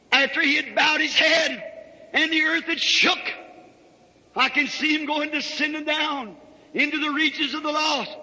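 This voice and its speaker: A man preaching a fervent revival sermon in a dramatic, declamatory style. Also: extremely high pitch. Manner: dramatic, declamatory delivery with emphatic shouts. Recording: reverberant hall recording.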